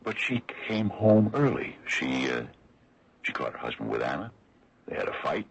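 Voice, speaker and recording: A wry small-town lawman in an old radio mystery broadcast. His voice is extremely deep, gravelly and rough, swinging expressively as he draws out each dry, sardonic point with deliberate, folksy hesitation. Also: big pitch swings, highs cut off, heavily acted. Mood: somber, sardonic. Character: wry, slow-talking older small-town lawman exposing a cover-up. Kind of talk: 1970s radio mystery acting.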